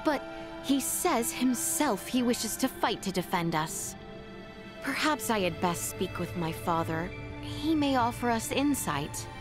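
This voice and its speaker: Earnest young princess, fairly high. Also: female voice, lively intonation, a little slow, over music. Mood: hopeful, insistent. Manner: formal, courtly phrasing. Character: earnest, caring young princess, polite and determined. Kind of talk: video game cutscene acting.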